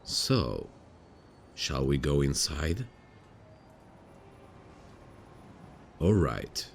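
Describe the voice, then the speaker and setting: A man playing a weary, haunted detective in an intimate ASMR roleplay, speaking to a partner softly and without hurry. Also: very deep voice, very animated, slow pace, creaky, a little hiss or hum, heavily acted. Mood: focused, guiding. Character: weary, haunted male detective hunting a serial killer.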